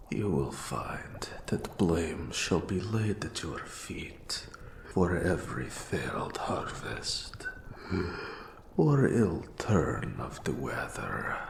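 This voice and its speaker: An ancient-sounding eldritch mentor of forbidden magic, speaks in a fantasy audio drama. The voice is abyssally low and hushed to a gravelly whisper, with a roomy echo around it. The delivery is grave, formal and ominous. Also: male voice, full character voice. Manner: slow, ominous, archaic formal speech.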